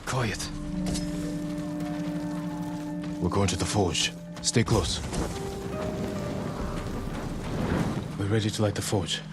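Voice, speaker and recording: A man as a grim samurai warrior, deep voice, terse and commanding, cinematic game drama, music behind. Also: steady tone, normal speed, natural voice. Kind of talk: cinematic video game acting.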